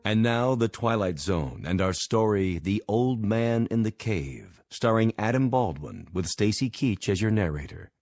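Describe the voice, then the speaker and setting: A man's voice: fairly low pitch, expressive, a little slow, few pauses. A man announcing a radio drama in a scripted title-card style. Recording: loud theme music under the voice.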